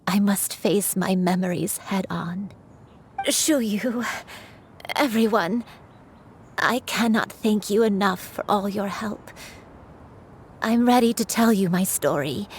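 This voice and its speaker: Actress as elderly crane spirit in human guise, tender grandmotherly manner, slow. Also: mid-range voice, a little hiss or hum, lightly acted.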